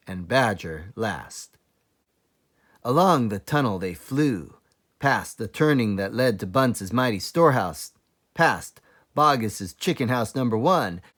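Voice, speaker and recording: A man reading a children's book aloud as a teacher librarian, explaining things deliberately for young listeners. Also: medium pitch, fairly bright tone. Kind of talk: children's storybook read-aloud.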